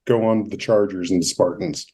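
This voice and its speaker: Guy hosting a podcast interview, mellow relaxed voice, trips over words when reading stuff out. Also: deep voice, moderate pace, slightly rough. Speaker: podcast host. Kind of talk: introducing and interviewing a guest.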